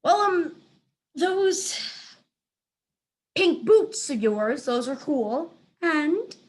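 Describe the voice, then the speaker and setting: A woman voicing a small scrappy male dog in a children's storybook, informal and earnest, halting when embarrassed. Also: high-pitched, very animated, voice pushed up, exaggerated voice. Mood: awkward. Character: Bob, small scrappy male dog, awkward and soft-hearted.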